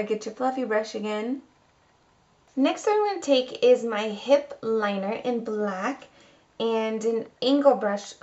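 A woman's voice: on the high side, darkish tone, muffled recording. A woman in her twenties giving a casual, chatty makeup tutorial as a beauty vlogger, recorded at home on a camera mic. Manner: chatty, informal. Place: small room at home.

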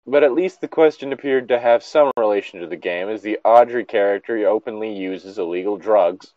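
A man's voice: fairly low pitch, a little fast, dry recording, dull audio. A man casually reading a story aloud and breaking off with exasperated, incredulous, sweary commentary.

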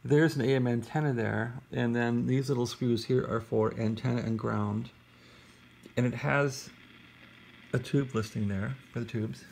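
Husky, gravelly American man, musing. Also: mid-range voice, steady tone, measured pace, a little mellow. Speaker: vlogger showing a vintage radio. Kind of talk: casual unscripted show-and-tell. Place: bedroom in a house. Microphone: handheld camera, close behind it.

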